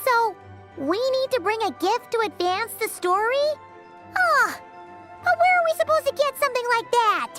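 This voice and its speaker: A woman voicing a small, excitable childlike girl companion in a video game, chirpy, blunt and easily exasperated. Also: very high voice, moderate pace, over music. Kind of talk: video game character acting.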